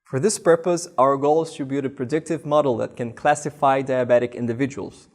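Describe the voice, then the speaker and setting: A man in his thirties from Brazil, a master's student giving a steady, scripted presentation full of statistics. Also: medium pitch, brisk. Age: 30s.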